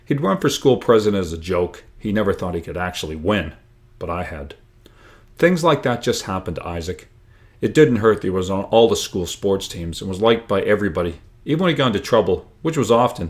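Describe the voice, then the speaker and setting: A man reading his own book aloud in an expressive storytelling style, lifting the dialogue with animated emphasis. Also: low-pitched, fairly quick.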